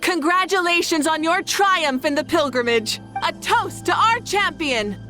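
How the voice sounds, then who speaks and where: A woman voicing a warm, dignified warrior ruler, measured and assured, in a video game. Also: very high voice, animated, faint music behind, heavily acted. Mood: celebratory. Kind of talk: video game character dialogue.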